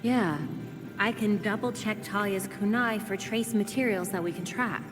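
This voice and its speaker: An actress playing a smart, matter-of-fact young tech expert in a video game. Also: female voice, mid-range voice, moderate pace, close to an everyday voice. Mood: businesslike. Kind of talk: video game cutscene acting. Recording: long reverb, music behind.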